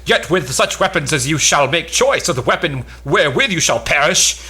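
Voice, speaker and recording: A man's voice: fairly high pitch, lively intonation, normal speed, sharp tone, husky, exaggerated voice. A man playing a boastful, bombastic mock-warrior knight in a pompous, declamatory amateur reading of an old stage play. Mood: indignant.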